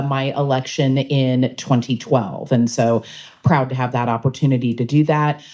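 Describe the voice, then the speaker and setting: A middle-aged woman state representative with a low voice, speaking at a town hall in a library in a chatty, digressive, self-deprecating way. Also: mellow. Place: public library meeting room.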